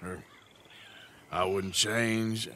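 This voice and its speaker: A man's voice: lowish voice, fairly even intonation, extremely slow, bright, forceful tone, heavily acted. An actor playing a weary, laconic outlaw gunman who answers in short, dry remarks, in a video game cutscene. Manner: terse, dry.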